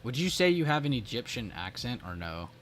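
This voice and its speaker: A man hosting a live trading stream, animated and joking, with plenty of filler words. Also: medium pitch, a little fast, a little hiss or hum. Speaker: stream host and trading teacher. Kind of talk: casual live-stream chat.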